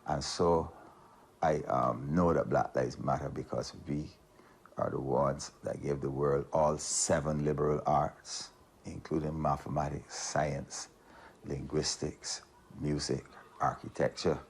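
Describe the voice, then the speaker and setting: A man from Barbados with a Barbadian accent, calmly recounting a personal experience in an interview. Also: a bass voice, unhurried, a little hiss or hum.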